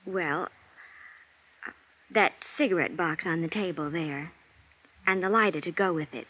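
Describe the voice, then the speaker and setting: An actress playing a flustered, genteel young stage performer in a 1950s radio drama, with hesitant, breathy interjections. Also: female voice, fairly low pitch, unhurried. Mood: embarrassed, hesitant. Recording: dull vintage radio recording.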